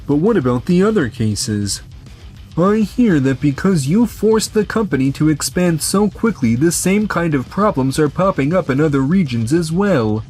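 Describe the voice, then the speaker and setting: A man's voice: on the high side, expressive, moderate pace, a little mellow, natural voice. Stern corporate client for manga dub, performed by a man: formal, principled, coolly reproachful, faint music underneath. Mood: stern, disappointed. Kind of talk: scripted manga dub acting.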